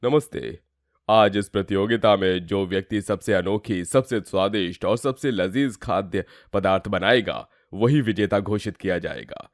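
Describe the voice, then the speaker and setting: A man's voice: fairly low pitch, moderate pace, dry recording, studio quality, natural voice. Man acting a grand, emphatic master chef judge. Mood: formal. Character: grand, effusive master chef judging a contest. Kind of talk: dramatized Hindi moral story.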